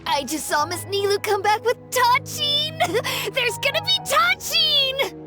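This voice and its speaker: A woman voicing an excited, food-loving little child in a video game, gleeful and exclamatory. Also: very high-pitched, slow pace, exaggerated voice. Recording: music behind the voice.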